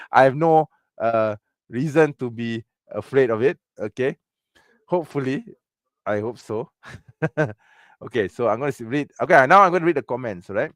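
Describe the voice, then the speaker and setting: A man's voice: medium pitch, lively intonation, measured pace, pauses often, a bit bright, dry recording. A man with a Malaysian English accent hosting a casual livestream and reading out comments. He sounds mocking, chuckles often and uses a lot of fillers. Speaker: livestream host.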